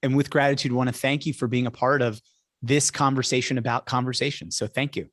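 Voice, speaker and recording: Male podcast host, mid-pitched and conversational, a practised speaker, recorded dry with little room sound. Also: steady tone, a little fast, fairly bright tone, dry recording.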